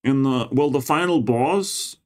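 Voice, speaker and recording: Casting: man, meandering casual monologue, profane, drops "bro" often, erratic pitch swings. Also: unhurried. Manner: meandering, swears often, ends phrases with "bro". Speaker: vlogger.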